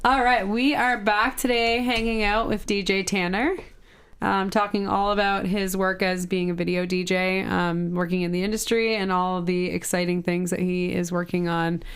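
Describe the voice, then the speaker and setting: Smooth-voiced woman hosting a podcast. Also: medium pitch. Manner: loose, filler-heavy. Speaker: podcast host. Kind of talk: casual interview chat.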